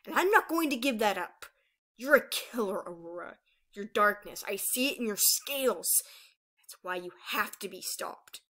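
A woman's voice: medium pitch, animated, normal speed, bright, forceful tone. A woman voicing a menacing old female dragon villain in a fantasy story, taunting and grandiose. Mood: cold, threatening.

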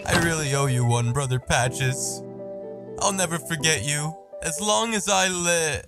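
Casting: male actor as earnest, stammering young would-be bandit for comic dub, slangy, slow delivery over music. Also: on the high side. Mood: pleading, grateful. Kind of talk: manga comic dub acting. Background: music under the dialogue.

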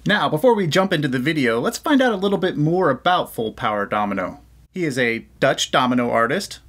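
A man's voice: fairly high pitch, very animated, a bit bright. A man in his thirties from the United States, hosting a casual reaction video at a desk mic, upbeat and full of praise. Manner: upbeat and enthusiastic, quick to praise. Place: small indoor room at a desk. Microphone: desk condenser mic.

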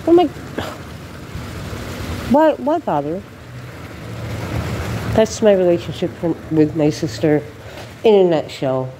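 A woman's voice: fairly low pitch, very animated, slow pace, pauses often, dark tone. A woman with a congested cold chatting casually while walking outdoors, rambling and laughing as she tells stories. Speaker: beauty vlogger. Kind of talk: casual walking vlog chat. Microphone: handheld phone camera. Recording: noisy outdoor phone recording.

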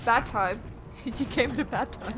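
A Yorkshire woman streaming a game, her voice sitting on the higher side as she chats, swears and shouts warnings to a teammate. Loud game music plays behind her the whole time. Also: normal speed. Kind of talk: casual gameplay chat.

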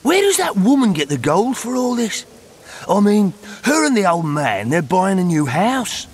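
An actor playing a grumbling, foul-mouthed bandit in a video game, coarse and full of complaint. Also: male voice, high voice, big pitch swings, measured pace, husky, exaggerated voice. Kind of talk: video game dramatic dialogue.

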